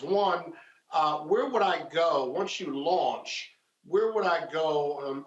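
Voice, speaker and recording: A man with a fairly high voice speaks slowly and haltingly as a panelist putting casual questions. His audio is roomy and echoey. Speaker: show panelist asking questions. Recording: dull, echoey remote connection.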